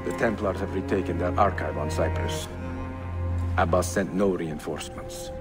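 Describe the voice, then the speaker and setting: An actor playing a grave, weary leader of an assassin order in a game cutscene, speaking in a solemn, measured way. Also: male voice, deep voice, over music, lightly acted. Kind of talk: video game cutscene acting.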